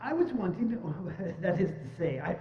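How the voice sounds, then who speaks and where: A man's voice: high voice, big pitch swings. A man in a dramatic stage reading, playing a timid, deferential clerk who stammers and halts meekly. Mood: nervous, meek. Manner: halting, stammering, meek. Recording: muffled, reverberant church hall.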